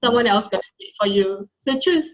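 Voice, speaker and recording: A woman's voice: mid-range voice, animated, quick pace, lo-fi sound. A Singaporean woman being interviewed, talking conversationally and motivationally in an emphatic way that repeats key phrases. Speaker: interview guest, coach.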